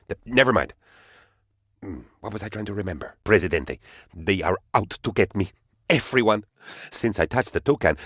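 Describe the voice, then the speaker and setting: Pompous, melodramatic, husky-voiced game henchman. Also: on the low side, fairly bright tone, dry recording, studio quality, heavily acted. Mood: paranoid, alarmed. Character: pompous, lovesick, paranoid male presidential aide, bumbling and self-important. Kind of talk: theatrical video game character voice lines.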